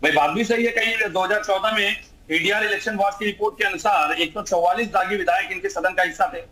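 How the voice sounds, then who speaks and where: A man's voice: high voice, quick pace, pushed and bright, low-quality recording. A man arguing as a political panelist in a TV debate, emphatic and fond of rhetorical questions, with some noise on the recording. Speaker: political panelist, party spokesperson. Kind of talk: heated political debate argument. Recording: clear background noise.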